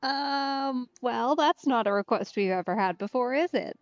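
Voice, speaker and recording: A woman's voice: a little high, a little slow. A woman giving casual live commentary as she plays a game, close on the mic with slightly muffled sound. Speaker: game streamer. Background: soft game music.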